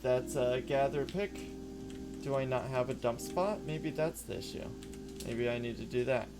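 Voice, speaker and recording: A man streams a game with an everyday mid-pitched voice. He chats loosely, answers his viewers, and mutters to himself as he plays. Clear music runs behind him. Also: moderate pace. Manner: rambling, chatty, answers chat and talks to himself. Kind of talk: casual live-stream chat while playing. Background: background music.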